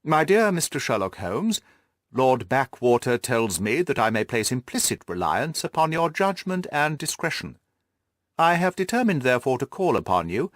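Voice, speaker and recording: A man's voice: mid-range voice, no room sound, clean studio sound, natural voice. A man voicing a formal, courteous, aggrieved nobleman's letter in an audiobook, in a stiff manner. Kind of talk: letter read aloud in an audiobook.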